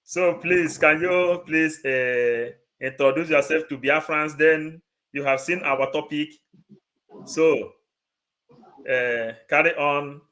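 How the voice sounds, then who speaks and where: A man's voice: on the high side, slow pace, fairly bright tone. A man hosting a live stream, affirming his guest and repeating words as he goes. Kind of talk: casual hosting.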